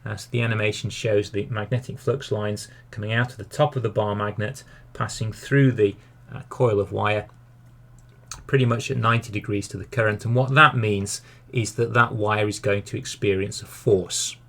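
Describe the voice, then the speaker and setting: A man's voice: on the low side, fairly even intonation, normal speed, creaky, a bit bright. A man narrating a science lesson as a teacher, explaining a demonstration step by step in a didactic way. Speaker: physics teacher.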